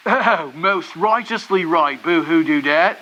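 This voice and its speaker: A man's voice: on the high side, slow, bright, forceful tone, dull audio, exaggerated voice. A man voicing a laid-back old dude rabbit reporter puppet who hosts a puppet show, with a goofy, showy manner and an exaggerated fake French accent. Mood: playful.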